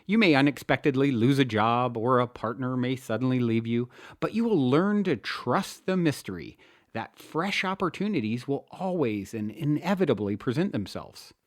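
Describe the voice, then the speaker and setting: A man's voice: medium pitch, no room sound, clean studio sound. A man hosting and narrating spiritual self-help material in an earnest, emphatic way, as an author presenting his own work. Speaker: podcast host and author reading own book. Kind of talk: spiritual self-help audiobook narration with casual podcast introduction.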